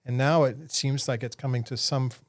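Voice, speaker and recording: A man's voice: medium pitch, steady tone, brisk, dry recording. A man, an architecture professor, explaining his program in an earnest way, with many fillers. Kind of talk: interview answers.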